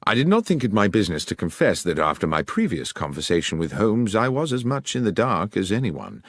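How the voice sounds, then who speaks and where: A man narrating a detective story as a scripted audiobook, in a measured, literary storytelling manner. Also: low voice, dry recording.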